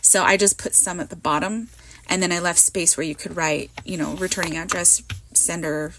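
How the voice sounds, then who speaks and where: A woman in her thirties or forties, hoarse from a cold, rambling in a chatty, self-mocking way as she narrates her card making at a craft table. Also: on the low side, fairly even intonation, normal speed, noisy background. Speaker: card maker. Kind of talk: casual crafting voiceover.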